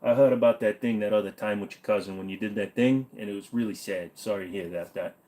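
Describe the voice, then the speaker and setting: A man's voice: on the low side, fast talker, dark tone, close to an everyday voice. A man putting on the voice of a swaggering, chummy middle-aged mobster with an exaggerated street-tough drawl, as a comedy bit on a casual stream. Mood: boastful.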